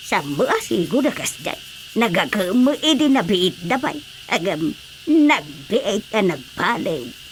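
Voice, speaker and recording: A woman's voice: mid-range voice, sing-song, unhurried, a little hiss or hum. A woman acting a complaining mother-in-law in a radio drama, confiding and grumbling with an Ilocano accent.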